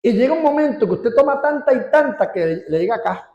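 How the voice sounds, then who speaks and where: A man preaching a sermon as pastor, stretching out key words and rising in pitch for emphasis. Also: animated, fairly quick, mellow, echoey room, highs cut off. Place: church.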